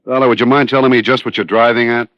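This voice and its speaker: Man doing 50s radio cop lieutenant, quick clipped talker, impatient, blunt, dry muffled old sound. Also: mid-range voice, level tone, dry recording, natural voice. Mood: impatient, puzzled. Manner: blunt, terse. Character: gruff male police lieutenant, impatient, blunt, skeptical sidekick. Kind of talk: 1950s radio crime drama acting. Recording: dull vintage radio recording.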